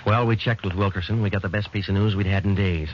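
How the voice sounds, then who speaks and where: A man playing a police detective who narrates his case in a flat, clipped, matter-of-fact 1940s radio drama style. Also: on the low side, fast, natural voice. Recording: muffled, hissy old radio broadcast.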